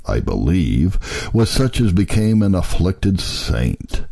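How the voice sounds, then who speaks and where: A man reading old historical texts aloud as a narrator, in a solemn, measured, formal way. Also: low-pitched, sing-song, gravelly, mellow, breathy edge, no room sound, clean studio sound.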